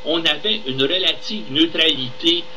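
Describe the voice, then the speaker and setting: A man's voice: fairly high pitch, normal speed, pushed and bright, poor audio. A man with a Québécois French accent giving indignant, emphatic political commentary live on the radio. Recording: radio talk with faint background noise.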